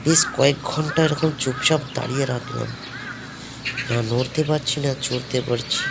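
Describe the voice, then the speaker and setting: A man's voice: medium pitch, steady tone, moderate pace, gravelly, heavy background noise. A man narrating a scripted horror story in storytelling fashion. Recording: loud music under the voice. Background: loud background music.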